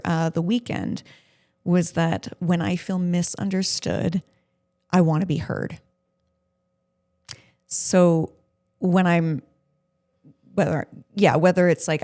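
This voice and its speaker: Woman, lowish voice, gravelly fry, casual podcast chat, blunt and self-deprecating. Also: dark tone. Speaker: podcast co-host interviewing her partner. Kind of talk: casual conversational podcast talk.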